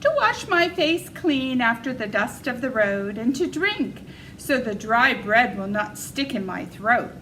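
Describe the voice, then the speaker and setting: A woman voicing a timid, kind young girl in a storybook read-aloud, soft and earnest. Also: a little high, big pitch swings, unhurried, a little hiss or hum, low-quality recording.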